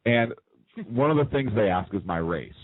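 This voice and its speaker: Lively-voiced man, dry and tinny. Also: on the low side, moderate pace, slightly rough, no echo. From United States. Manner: animated storyteller, teasing. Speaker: co-host. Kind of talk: casual political talk banter. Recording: dry, phone-like narrow sound.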